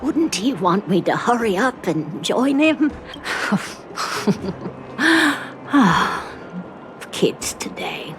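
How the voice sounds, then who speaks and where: A woman's voice: mid-range voice, big pitch swings, very slow, background noise. A woman voicing a grieving old widow in a video game, hard of hearing, stubborn and wistful, with music underneath. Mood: wistful, weary. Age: older.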